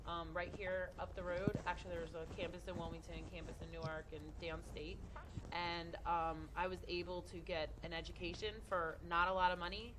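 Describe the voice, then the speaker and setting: Low-voiced woman, halting and friendly. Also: level tone, noisy background. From Delaware, US. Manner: hesitant, filler-heavy, friendly. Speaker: nurse, guest speaker. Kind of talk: casual talk to young audience.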